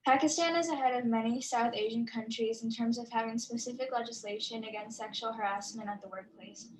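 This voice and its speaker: A young woman presenting for her group, reading a prepared text at a steady, measured pace over a video call. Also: a little high, a little hiss or hum, rough recording. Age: young adult. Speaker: youth ambassador presenter.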